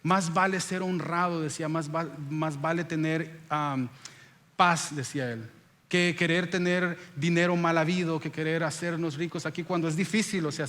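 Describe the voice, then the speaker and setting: A long hall reverb surrounds a Salvadoran man preaching in Spanish. His voice sits a little high for a man. He delivers his sermon as a pastor, putting questions to his listeners and repeating phrases for weight. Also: fairly bright tone. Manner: direct address with rhetorical questions and repetition.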